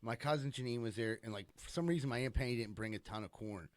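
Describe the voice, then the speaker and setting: A man's voice: mid-range voice, fast, no echo. A man co-hosting a podcast, telling a rambling family story in casual chat. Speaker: podcast co-host.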